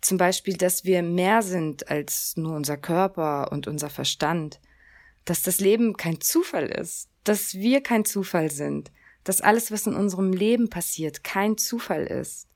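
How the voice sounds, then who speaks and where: Earnest German woman podcaster, lowish voice. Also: animated, a little slow, darkish tone. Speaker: podcast host. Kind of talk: solo podcast introduction.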